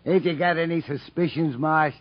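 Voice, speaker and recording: Sly, high-voiced Southern laborer. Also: male voice. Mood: sly, amused. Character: sly, teasing Southern railroad laborer turned go-between. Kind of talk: 1950s American radio drama acting. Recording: dull 1950s radio broadcast recording.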